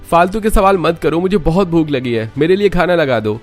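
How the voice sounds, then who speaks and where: A man voicing a short-tempered young husband who scolds his new wife in a loud, harsh voice. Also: a little high, brisk, faint music behind, voice pushed up, natural voice. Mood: angry shouting. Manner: shouting, scolding.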